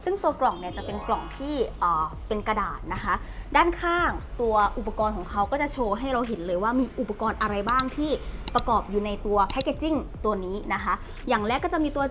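A young woman politely explaining a product as she unboxes it for a review. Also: on the high side. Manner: polite, explanatory, steady walk-through. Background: faint background music.

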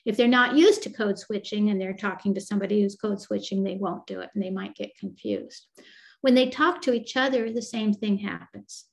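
A woman's voice: mid-range voice, moderate pace, gravelly, rough recording. An older woman, a psychologist, answering questions on a video call in a thoughtful, explanatory way with frequent fillers and restarts. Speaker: developmental psychologist guest.

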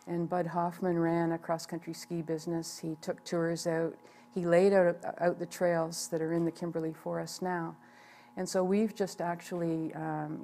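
A woman in her sixties, a ski club president, talking in a measured way with frequent fillers in a seated interview indoors. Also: on the low side, a little mellow. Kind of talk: on-camera interview. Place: indoor room by a wood stove.

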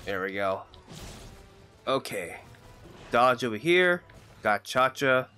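A young man with a congested voice from a cold, casually commenting on his own gameplay and shouting out when his character gets hit. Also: medium pitch, big pitch swings, slow, faint music behind. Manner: chatty, reacting loudly to in-game hits. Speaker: gaming channel host.

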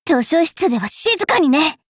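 A woman voicing a bookish, sharp-tongued young fairy witch knight in an anime mobile game, switching between prim politeness and rough taunts. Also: sits high, big pitch swings, unhurried, no room sound, studio quality. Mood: fierce, battle cries.

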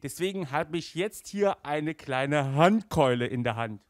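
A man, presenting casually and enthusiastically to camera as a show host, with an animated, emphatic manner. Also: a little high, measured pace, no room sound, studio quality. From Germany. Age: 40s. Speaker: collector magazine show host. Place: small indoor room with toy displays. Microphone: handheld microphone, later headset.

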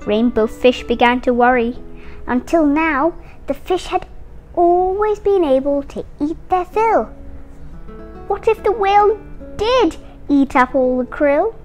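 A woman's voice: sits high, animated, measured pace, darkish tone. An eight-year-old girl reading a picture book aloud with careful, dramatic phrasing, as a young storyteller. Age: child, eight years old. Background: instrumental music.

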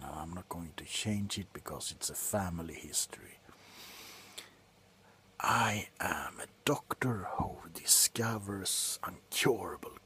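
A tipsy man playing a callous, grumpy doctor in a slow, sullen ASMR roleplay, whispering sarcastically up close. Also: deep voice, pushed and bright, a little hiss or hum, heavily acted. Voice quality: breathy whisper. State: drunk. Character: grumpy, callous, drunken male doctor on a house call. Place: small cluttered room indoors.

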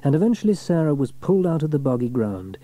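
A man reading a scripted children's story in an expressive, performed way. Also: on the high side, quick pace. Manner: expressive, shifts into character voices. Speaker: storybook reader.

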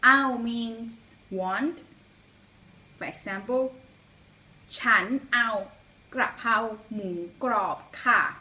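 Thai woman teaching slowly and brightly. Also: on the high side, rough recording. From Thailand. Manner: cheerful, slow and careful over words. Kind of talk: upbeat lesson to camera. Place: small indoor room.

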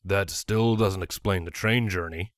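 A man's voice: deep voice, unhurried, dry recording, clean studio sound. A man voicing a good-natured male pony guard captain and newlywed husband with a wry, amused manner.

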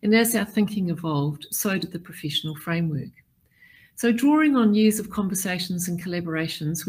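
Middle-aged woman, keynote speaker, husky low voice, deliberate and measured. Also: a little mellow, poor audio. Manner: measured, deliberate delivery with listed points. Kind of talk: formal prepared keynote address.

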